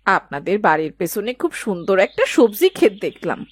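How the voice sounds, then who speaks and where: A woman's voice: mid-range voice, very animated, brisk. A woman playing a chatty, inquisitive village aunt in a cartoon, talking in a familiar, gossipy way. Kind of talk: dramatised cartoon dialogue.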